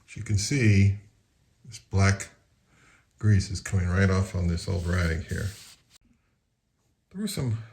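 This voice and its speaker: A man narrating a casual hands-on how-to, unhurried and thinking aloud as he works. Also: lowish voice, darkish tone. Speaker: repair tutorial presenter.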